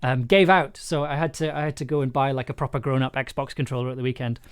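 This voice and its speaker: A man chatting casually on a livestream as he works, enthusiastic but halting, repeating words and letting thoughts trail off. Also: mid-range voice, steady tone, clean tone. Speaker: audio drama maker livestreaming an editing session. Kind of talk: casual livestream chat while working.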